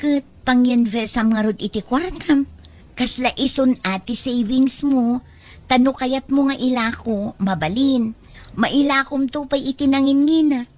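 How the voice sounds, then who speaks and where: A woman's voice: high-pitched, measured pace, darkish tone. A woman playing a practical female friend in an audio drama, talking persuasively as she gives advice. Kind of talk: Ilocano audio drama dialogue.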